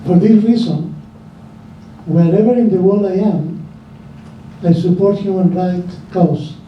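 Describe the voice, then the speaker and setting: A Chilean man gives personal testimony from an audience in a notably high voice. He speaks slowly, pausing between phrases. The recording is dull and swamped in echo. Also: a little hiss or hum. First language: Spanish. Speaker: audience member. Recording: very echoey room, dull distant sound.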